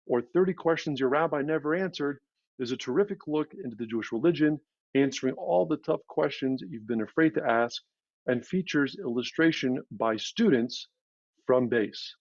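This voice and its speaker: A man's voice: fairly high pitch, normal speed, darkish tone, no room sound, lo-fi sound. A man delivering a prepared, formal introduction of a guest speaker as an event host on a video call.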